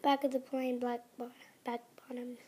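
A woman who is sick and sounds raspy, hosting a casual home review vlog indoors, talking hesitantly with many restarts into a phone held close. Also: a little high, level tone, normal speed. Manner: hesitant, restarts often, quiet and mumbly at times. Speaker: channel host. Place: indoor carpeted room at home.

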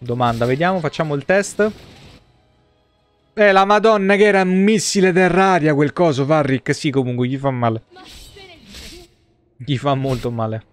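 A man's voice: fairly high pitch, moderate pace, long pauses, faint music behind. A man, giving casual, slangy live gameplay commentary as a streamer. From Italy. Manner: colloquial, slangy. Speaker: game streamer. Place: indoor streaming room.

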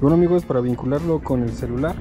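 A man's voice: mid-range voice, fast. A man with a Mexican accent giving a casual, slangy hands-on tutorial as a motorcycle vlogger. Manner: informal, slangy. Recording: loud music behind the voice.

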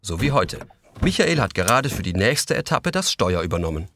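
A man's voice: lowish voice, very animated, normal speed, fairly bright tone, no room sound. A man playing the composed narrator of a children's audio story, reading scripted narration.

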